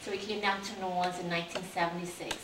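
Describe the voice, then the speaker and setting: A woman in her forties, born in Vietnam, a city council candidate telling her life story in an earnest, steady way. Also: on the low side, very fast. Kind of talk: interview answers, life story. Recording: echoey room, distant mic, faint hiss.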